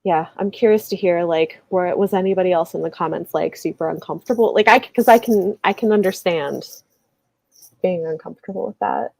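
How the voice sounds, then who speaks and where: A woman chatting casually about books on a livestream, animated and informal, halting as she thinks aloud. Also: on the low side, vocal fry, no echo. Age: adult, married with children. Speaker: book discussion host. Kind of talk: casual book chat on a livestream.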